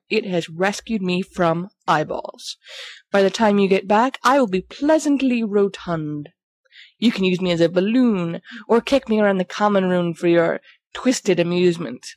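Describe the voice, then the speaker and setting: A woman's voice: medium pitch, no echo, lightly acted. A woman as a melodramatic, playful teenage schoolboy. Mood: mock-tragic. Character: theatrical, vain, playful teenage schoolboy letter writer. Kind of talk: reading letters aloud in character, audiobook-like fan recording.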